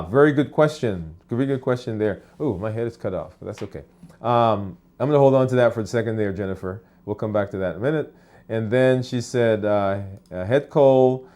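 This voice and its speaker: A man's voice: on the low side, a little mellow. A man, a physician, hosting a casual live stream from his office, chatting with fillers and restarts while he answers viewer comments.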